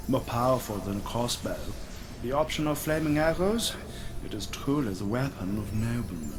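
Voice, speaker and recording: An actor playing an ancient, haughty centaur prince in a video game, pompous and condescending. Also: male voice, medium pitch, lightly acted. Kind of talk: video game cutscene acting. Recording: game music behind the voice.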